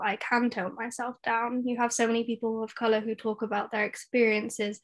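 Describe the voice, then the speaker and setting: A young woman with a slightly high voice that stays quite level. She speaks as an activist on an online panel, in an earnest discussion style, over a video call. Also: fairly bright tone.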